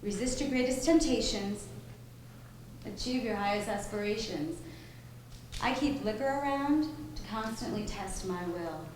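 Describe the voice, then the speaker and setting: A woman's voice: medium pitch, unhurried, a little mellow, natural voice. An actress playing a self-assured, philosophizing woman in a live stage drama, speaking in a wry, declarative way. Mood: assured, persuasive. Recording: very echoey, noisy live theater recording.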